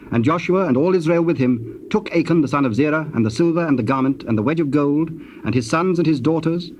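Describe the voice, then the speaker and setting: A man narrating scripture in a solemn, measured and formal manner. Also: fairly high pitch, darkish tone, natural voice. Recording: dull, noisy old cassette tape.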